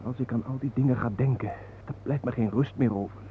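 An actor playing a troubled, weary family man, earnest, halting and defensive, in a naturalistic radio drama. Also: medium pitch, natural voice. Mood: despairing. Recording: tinny narrow-band old radio recording, hissy.

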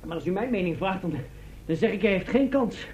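Sour, high-voiced pessimist. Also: male voice, expressive, brisk, darkish tone. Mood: defeatist. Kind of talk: Dutch radio play acting. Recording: dull, noisy old radio recording.

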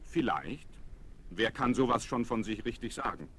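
An actor playing a self-assured, wry young aviator in a German children's radio play, breezy and dryly ironic. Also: male voice, mid-range voice, expressive, normal speed, pushed and bright, slightly rough. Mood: wry, unflappable. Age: young adult. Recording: noisy vinyl radio-play recording.

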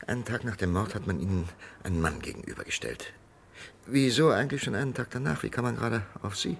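An actor playing a persistent, probing doctor in a German radio crime drama, with an insistent, questioning manner. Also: male voice, fairly low pitch, animated, fast talker, gravelly. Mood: probing, controlled. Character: persistent, probing male doctor challenging a death sentence. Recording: faintly noisy vintage radio drama recording.

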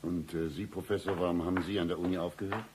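An actor playing a dry, lovesick boss in a satirical radio play, deadpan with florid turns of phrase. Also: male voice, low-pitched, quick pace, noisy background, lightly acted. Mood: dry, questioning. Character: dry, lovesick male boss hiring staff, pompous romantic.

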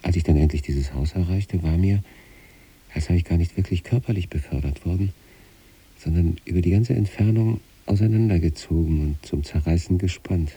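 An actor playing a troubled, detached sanatorium patient who recites letters to his wife in a measured, brooding radio play monologue. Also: low voice, mellow, husky, exaggerated voice. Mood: introspective, estranged.

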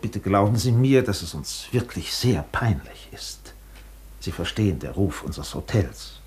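An actor playing an obsequious, anxious hotel director in a German radio drama, stiffly courteous and deferential. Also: male voice, low-pitched, animated, unhurried, a little mellow, slight background noise. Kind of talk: German radio play acting.

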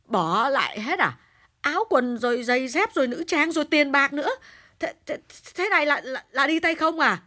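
A man voicing an anxious old mother who stammers and speaks fast in a shrill falsetto. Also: lively intonation, sharp tone, heavily acted. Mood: shocked.

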